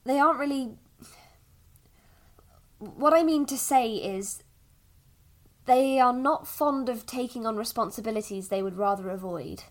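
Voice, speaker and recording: A woman playing a patient, gentle mother in a fantasy audio drama, explaining things carefully to her young child. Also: on the high side, lightly acted. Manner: careful, measured explaining with thoughtful hesitations.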